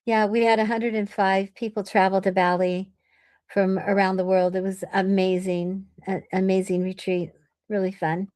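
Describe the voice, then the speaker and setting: A woman's voice: mid-range voice, level tone, moderate pace, a little mellow, dry recording. A woman who works as an event producer, chatting casually in an effusive, friendly way. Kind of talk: casual chat.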